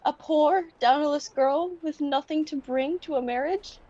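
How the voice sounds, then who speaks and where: Teenage girl as a high-voiced heartbroken young fiancée. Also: unhurried, dark tone, dull audio. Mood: sad, resolute. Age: teens. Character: heartbroken young fiancée, gentle, resolute, reproachful. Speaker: student actor. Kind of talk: radio play acting.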